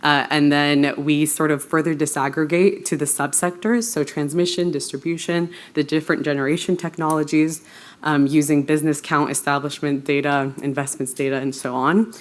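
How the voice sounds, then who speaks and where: Low-pitched woman presenting research. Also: fairly even intonation, normal speed. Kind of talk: conference presentation. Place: live forum presentation.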